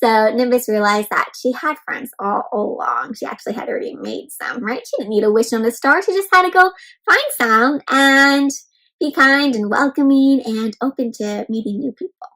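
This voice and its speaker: Female kids' storybook host, high-pitched, animated, interactive delivery aimed at little listeners. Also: moderate pace, poor audio. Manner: animated, asks listeners questions, addresses them as friends. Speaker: children's read-aloud host. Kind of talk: interactive picture book read-aloud for young children.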